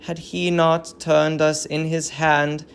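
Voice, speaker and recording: A woman's voice: low-pitched, little pitch movement, slow, smooth, voice pushed down. A woman playing a grieving, dignified old queen in a Greek tragedy reading, with solemn, declamatory verse delivery. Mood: bleak despair. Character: grieving old queen mourning her dead grandchild, bitter, dignified.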